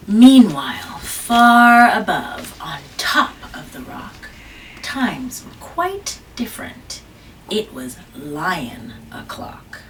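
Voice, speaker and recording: Mom reading a kids' book aloud at home, slow and gentle, stopping for questions; mic sits far off with faint background noise. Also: female voice, sing-song. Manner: gentle, questioning the child, jumps to high squeaky pitch on small words. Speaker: mother reading aloud to her child. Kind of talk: children's picture-book read-aloud.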